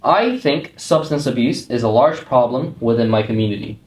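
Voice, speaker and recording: A man calmly reads out short survey statements, one at a time, in an even and unhurried scripted tone. His voice sits at a middle pitch. The room gives it a noticeable echo, with a faint hiss beneath. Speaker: narrator reading survey statements. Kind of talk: scripted reading of prompts. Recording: roomy echo, faint noise.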